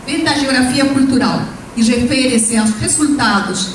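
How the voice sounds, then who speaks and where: A woman from Peru with a Peruvian Spanish accent, a native Spanish speaker giving a formal, measured academic conference presentation as invited professor. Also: high-pitched. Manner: formal, measured lecturing with Spanish-tinged hesitations. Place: lecture hall. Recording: echoey hall from far off, faint noise.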